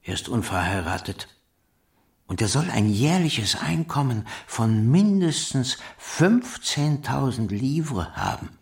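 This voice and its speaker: Creaky, animated gossiping townsman. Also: medium pitch, takes it slowly, exaggerated voice. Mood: knowing. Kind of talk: radio drama acting.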